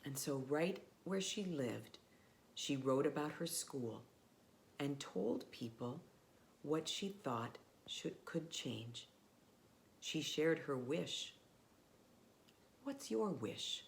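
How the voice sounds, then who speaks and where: A woman pastor reads a story to children in a low voice. She takes her time and phrases things simply and expressively. There is noticeable noise behind her. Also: animated, slow, gravelly, pauses often. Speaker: pastor reading a children's message. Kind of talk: storybook read-aloud, addressed to children.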